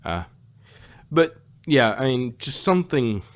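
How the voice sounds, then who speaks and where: A man chatting casually as a podcast host, rambling and halting, often repeating himself as he gives his opinions. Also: medium pitch, very animated. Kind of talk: casual opinionated fan chat.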